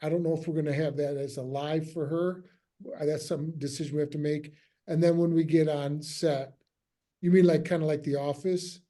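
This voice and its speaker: Man, very dark voice, directing haltingly. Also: a little high, fairly even intonation. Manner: hesitant, halting, trails off mid-sentence. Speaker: director leading a script table read. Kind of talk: casual group discussion, giving notes.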